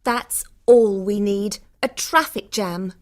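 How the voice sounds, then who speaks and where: A woman's voice: medium pitch, slow pace, close to an everyday voice. A woman playing a cynical, quarrelsome young traveller with a scornful, biting manner in acted audiobook dialogue. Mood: angry, exasperated.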